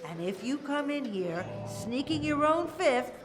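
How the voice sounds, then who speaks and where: High-pitched, bossy rock club emcee. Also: female voice, lively intonation, unhurried. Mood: brash, commanding. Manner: commanding, teasing. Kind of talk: audio drama stage announcing. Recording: club crowd and music behind. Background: crowd and music.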